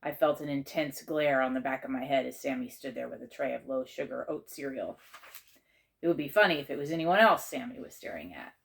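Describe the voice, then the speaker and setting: A woman reading a children's chapter book aloud in measured, expressive narration. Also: extremely low pitch, vocal fry.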